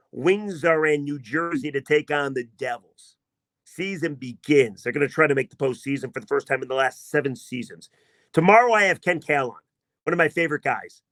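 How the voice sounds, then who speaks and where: A man hosting a sports podcast, talking in a casual, emphatic and self-mocking way, with a voice he himself calls not deep. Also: a bit bright, dry recording. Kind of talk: casual sports commentary monologue.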